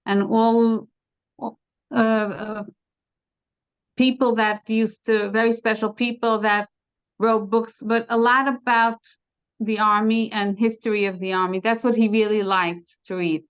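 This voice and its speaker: A middle-aged woman with an American accent, a guest in an interview, speaking haltingly with many fillers and restarts. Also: on the high side, flat delivery, clear, resonant tone. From United States. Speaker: interview guest, bereaved mother. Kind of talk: casual conversation. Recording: dull lo-fi sound.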